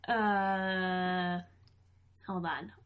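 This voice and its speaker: A woman's voice: lowish voice, fairly even intonation, extremely slow, smooth. A woman co-hosting a podcast, easygoing and matter-of-fact. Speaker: podcast co-host and producer. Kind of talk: casual chat.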